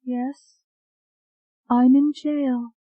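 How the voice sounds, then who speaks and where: A woman's voice: high voice, little pitch movement, extremely slow, dry recording, narrow, tinny audio, pitched above the performer's own voice, heavily acted. A narrator voicing a hypnotized young woman who answers in a hollow, distant way in an audiobook. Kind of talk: audiobook character voice.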